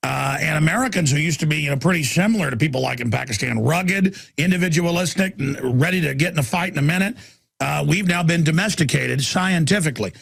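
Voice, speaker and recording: Gravelly, husky man hosting call-in radio. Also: fairly high pitch, quick pace, fairly bright tone, no echo. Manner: emphatic, rambling, repeats words. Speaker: radio talk show host.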